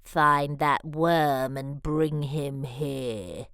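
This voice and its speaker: A woman voicing a threatening male wizard lizard from a storybook, giving slow, menacing commands. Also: low voice, a bit bright, clean tone, dry recording, studio quality, voice pushed down, full character voice. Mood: commanding, threatening. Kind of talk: storybook character voice.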